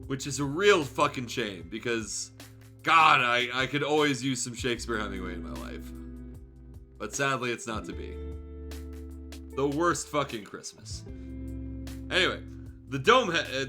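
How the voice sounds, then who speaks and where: A man's voice: mid-range voice, bright, forceful tone. A man livestreaming a read-aloud of fan fiction, breaking off for sardonic, sweary, off-the-cuff commentary.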